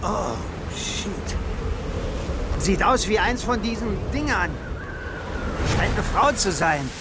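An actor playing a dutiful, uneasy submarine lieutenant in a radio drama, giving clipped military reports over loud sea noise. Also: male voice, sits high, expressive, takes it slowly, exaggerated voice. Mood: appalled. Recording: loud sea and engine ambience.

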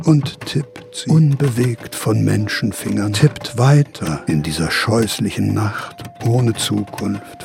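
Sardonic, gravelly narrator. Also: male voice, fairly low pitch, exaggerated voice. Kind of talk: German radio drama narration.

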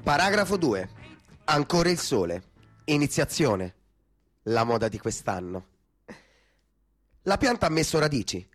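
A man's voice: a little high, very animated, a little slow, long pauses. Man narrating theatrically over faint music. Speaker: actor narrating a radio play. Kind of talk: theatrical scripted narration with poetic recitation.

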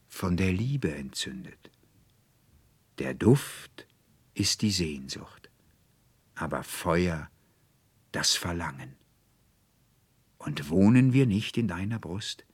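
Guy doing lovesick fairy-tale flower and stream spirits, breathy n intense, slow, rapturous, super dry recording. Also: deep voice, lively intonation, a little mellow, no room sound, heavily acted. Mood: ardent. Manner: rapturous, beckoning, drawn-out. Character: adoring, yearning spirits of flowers, fire, streams and birds.

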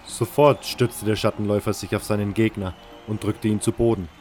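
A man's voice: on the low side, steady tone, brisk, a little mellow. A man reading scripted first-person fantasy narration as the narrator of an audio drama. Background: music.

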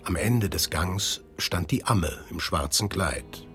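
A man narrating a German literary radio drama in a measured, serious, scripted style. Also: sits low, lively intonation, a bit bright, soft background music, exaggerated voice. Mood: measured, solemn.

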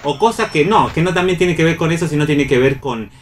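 A man's voice: on the high side, lively intonation, a little fast, a little hiss or hum. A man with an Argentine accent talking reflectively as a panelist in a round-table radio discussion.

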